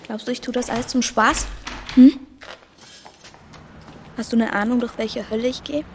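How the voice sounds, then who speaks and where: An actress in a radio drama playing a wounded, defiant young lover, emotional and confrontational. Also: female voice, fairly high pitch, steady tone, normal speed, a little hiss or hum, lightly acted. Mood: hurt, defiant.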